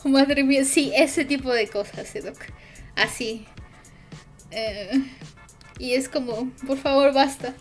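A woman's voice: high-pitched, takes it slowly, long pauses, soft background music. A woman with a Mexican accent chatting casually on a stream, rambling and hesitant with lots of fillers.

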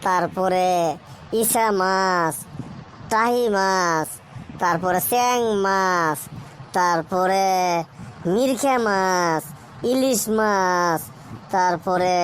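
A woman acts out a muddle-headed but apologetic schoolboy from a comic cartoon. He speaks slowly in rural Bangladeshi Bengali, repeating himself and checking he got it right. The pitch is average for a woman, and faint noise sits behind the voice. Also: lightly acted. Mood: apologetic, eager. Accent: Bangladeshi Bengali, rural colloquial. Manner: slow, repetitive, keeps seeking confirmation.

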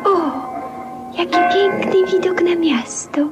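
An actress playing a dreamy, gentle lady with wistful, breathy delivery in a 1950s radio play. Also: very high-pitched, fairly even intonation, slow pace, loud music behind the voice. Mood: dreamy, wondering. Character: dreamy, gentle lady companion to an eccentric man.

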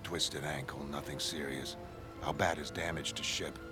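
An actor playing a grim, paranoid masked vigilante in an animated comic, a man speaking in clipped, deadpan fragments. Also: very deep voice, moderate pace, loud music behind the voice. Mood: grim, flat. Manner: clipped speech dropping small words, deadpan. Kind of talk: animated comic drama acting.

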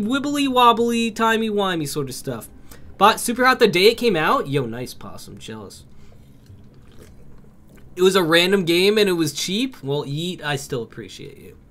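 A young man with a cold, streaming a game from home on a headset and greeting his chat by name with excited outbursts. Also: high-pitched. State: has a cold.

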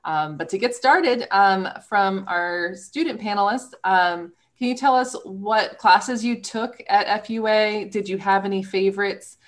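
A woman's voice: mid-range voice, measured pace, hardly any pauses. A woman in her thirties moderating a study abroad panel on a video call, guiding questions in an organized, friendly way. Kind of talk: moderating a webinar.

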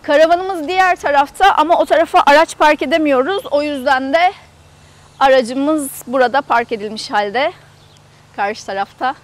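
Female travel vlogger, high voice, chatty and explanatory, casual walk-around tour delivered outdoors. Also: normal speed. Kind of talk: casual guided tour to camera. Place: outdoors in an open camper park lot.

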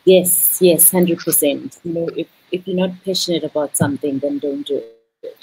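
South African woman, low voice. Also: normal speed, husky, rough recording. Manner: animated, many repeated words and "you know" fillers. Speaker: guest, tourism business owner. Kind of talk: casual video-call interview. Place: indoor room. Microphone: video call.

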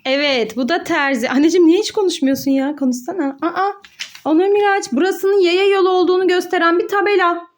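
A woman with a very high-pitched voice. She talks to young children as a mother leading an educational game. Her delivery is sing-song and playfully instructive, full of questions and exclamations. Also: fairly quick. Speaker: mother hosting a children's educational play video. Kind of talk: child-directed play narration with questions and answers.

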